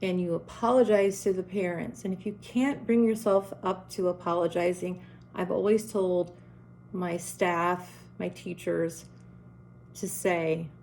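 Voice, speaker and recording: Slow, strong-voiced middle-aged woman. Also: on the low side, steady tone, gravelly, darkish tone, a little hiss or hum. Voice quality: strong, carrying voice. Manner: earnest, deliberate, direct. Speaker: school principal. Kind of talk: advice talk to parents and teachers.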